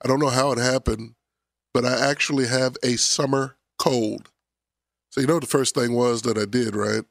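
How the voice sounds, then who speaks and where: A man's voice: mid-range voice, steady tone, moderate pace, vocal fry, no room sound, studio quality. A man in his forties, a pastor hosting a radio talk show, sounding nasal and scratchy from a summer cold, playful and preacherly. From Philadelphia area.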